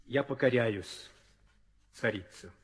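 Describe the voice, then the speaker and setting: An actor playing a dutiful, steadfast young prince in an old theatrical audio drama, speaking in solemn declamation. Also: male voice, medium pitch, slow pace, natural voice. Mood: solemn resolve. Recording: old radio play, hall reverb.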